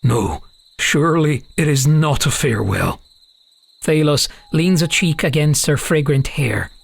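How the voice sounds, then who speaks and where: A man narrating a scripted fantasy audiobook as a dramatic, measured, solemn storyteller. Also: fairly high pitch, expressive, gravelly, slightly rough.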